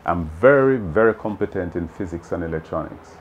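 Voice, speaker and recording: A man's voice: low-pitched, expressive, a little fast, highs cut off, slight background noise. A Jamaican man in his fifties, a math teacher earnestly explaining his views in an interview, often saying "you know". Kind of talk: interview answers. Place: open veranda outdoors.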